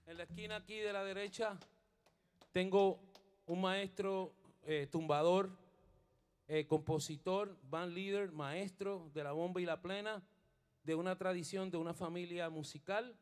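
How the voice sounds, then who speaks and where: A middle-aged bandleader with a fairly high voice speaks into a stand mic on a studio stage. He plays bass as he talks. He slips between Spanish and English and hesitates over his words before rising into fervent, sermon-like encouragement. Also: fairly even intonation, measured pace, smooth. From Puerto Rico. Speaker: bandleader and bassist. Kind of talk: onstage band introductions and spiritual encouragement. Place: indoor studio stage with a band. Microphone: stand microphone while playing bass.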